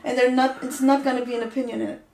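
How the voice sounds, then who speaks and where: A woman's voice: sits high, little pitch movement, racing, a little mellow, slight background noise. Young woman podcaster in an echoey room. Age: 20s. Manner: talks in restarts, earnest and opinionated. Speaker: podcast co-host. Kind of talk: casual discussion. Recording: roomy echo.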